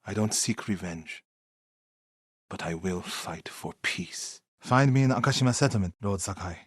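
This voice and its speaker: A devout warrior monk for a video game. His voice is fairly low and husky, recorded close and dry. He speaks formally and earnestly, with solemn loyalty and the weight of grief. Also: a little mellow, dry recording. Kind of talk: dramatic video game dialogue.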